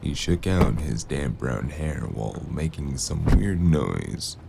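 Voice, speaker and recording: A man playing a weary, gruff detective in a noir audio drama, speaking in a dry, terse, gravelly way. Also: very deep voice, very animated, slow, warm tone, slight background noise, heavily acted. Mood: brooding narration. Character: weary gruff male noir detective narrating his cyberpunk case. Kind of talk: hard-boiled noir audio drama narration and dialogue.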